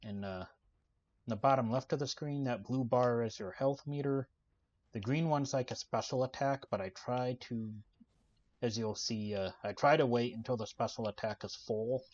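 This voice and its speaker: A soft-spoken man giving casual, relaxed commentary while playing a video game, with lots of "uh" fillers. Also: mid-range voice, normal speed, lo-fi sound. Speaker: gaming channel host. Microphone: desk microphone.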